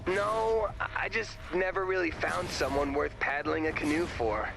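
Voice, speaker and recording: An actor voicing a shy, awkward young man in a video game, hesitant and understated, often deadpan. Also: on the high side, a little slow, creaky, music in the background, low-quality recording.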